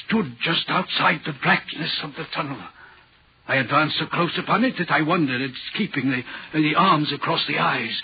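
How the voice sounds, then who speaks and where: Haunted signalman, husky slightly high voice, anxious and earnest, old muffled 40s radio drama. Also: exaggerated voice. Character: haunted, anxious railway signalman telling of a spectre. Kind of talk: 1940s radio horror acting. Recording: dull, muffled 1940s radio recording.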